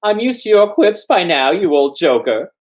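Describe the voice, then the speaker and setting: A man's voice: high voice, sing-song, normal speed, voice pushed up. A man voicing a vain, bossy, pompous male official in a storybook reading. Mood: smug. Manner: pompous, haughty exclaiming.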